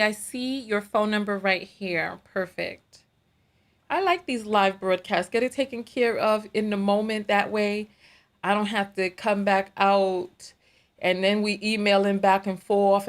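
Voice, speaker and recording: Woman, New York accent, livestream host, casual, talkative, repetitive phrasing. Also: medium pitch. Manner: chatty, animated, laughs often, repeats phrases. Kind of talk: casual live-stream chat reading viewer comments.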